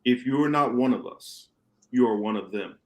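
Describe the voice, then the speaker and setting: A man acting a grave, commanding rebel mentor in an amateur radio play, with deliberate, emphatic phrasing and dramatic pauses. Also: medium pitch, lightly acted. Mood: grave, instructive. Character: grave, commanding male rebel mentor lecturing his pupil. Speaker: podcast host reading a radio play.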